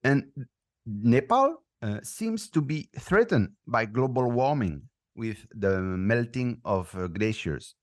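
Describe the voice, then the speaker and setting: A man hosting a podcast, asking measured interview questions with occasional fillers. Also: medium pitch, very animated. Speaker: podcast host.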